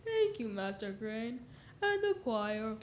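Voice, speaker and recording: A woman's voice: fairly high pitch, sing-song, slow. A young female performer playing a formal, welcoming church lay reader in a school radio play. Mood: ceremonious. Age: young teenager. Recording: roomy echo, noisy ambience.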